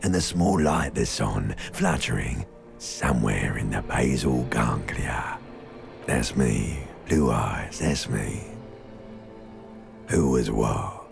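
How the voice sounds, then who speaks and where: An ancient, primal creature of the mind, speaks in an abyssal, heavily croaking growl. It is slow, nihilistic and mocking, with music clearly behind it. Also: male voice, lively intonation, full character voice. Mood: soothing menace. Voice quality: extremely deep, croaking, gravelly growl. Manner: slow, drawn-out, ominous.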